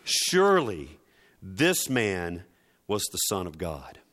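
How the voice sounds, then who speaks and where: Slow, deliberate male preacher. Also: low-pitched, huge pitch swings, fairly bright tone. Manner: emphatic, dramatic, deliberate phrasing with repetition. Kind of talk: sermon.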